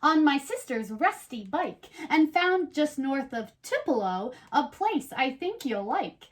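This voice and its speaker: Woman playing children's show reading buddy, high voice, animated intonation, bubbly, chatty, playful storybook read-aloud. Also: a little slow. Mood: cheerful. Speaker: co-host reading a picture book aloud.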